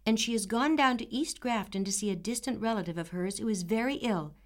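A woman's voice: mid-range voice, brisk, pitched above the performer's own voice, lightly acted. A woman voicing a young girl who answers firmly and with dignity. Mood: dignified. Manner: firm, dignified.

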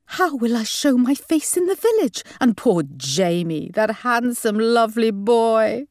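A woman voicing an outraged, scolding middle-aged mother in an audiobook, shrill and dramatic. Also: sing-song, normal speed, a little mellow, no echo, studio quality. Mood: wailing.

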